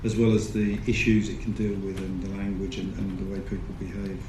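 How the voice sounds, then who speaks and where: A man on a panel giving thoughtful, measured answers about his work. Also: deep voice, level tone, mellow. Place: large screening hall. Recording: echoey hall with audience noise.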